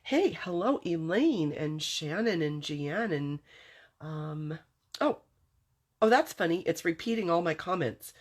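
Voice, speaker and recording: A low-pitched woman from Southern California with a stuffy, congested nose, hosting a live craft demonstration. She chats casually with viewers and greets them one by one, laughing often as she goes. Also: expressive, a little slow. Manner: chatty and friendly, laughs often between remarks. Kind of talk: casual livestream chat, greeting viewers by name.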